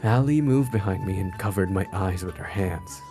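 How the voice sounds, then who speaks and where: A man's voice: low voice, moderate pace, warm tone, faint music behind. A man streaming a visual novel, reading the narration aloud expressively with playful interjections. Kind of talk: expressive read-aloud narration with live reactions.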